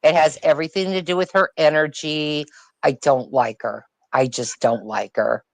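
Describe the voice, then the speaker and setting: A woman's voice: low-pitched, fairly even intonation, moderate pace, gravelly, no echo. Emphatic opinionated woman, dry sound. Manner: opinionated, emphatic, repeats points. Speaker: panel guest. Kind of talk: casual chat on a live stream.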